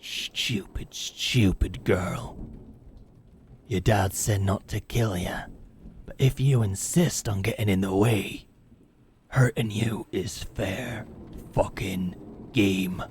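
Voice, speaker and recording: A man's voice: on the low side, slow, darkish tone, slight background noise. A man playing a crude, menacing leader of medieval hired killers, sneering and boastful in a fantasy audio drama. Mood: threatening. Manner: sneering, boastful, rough colloquial talk.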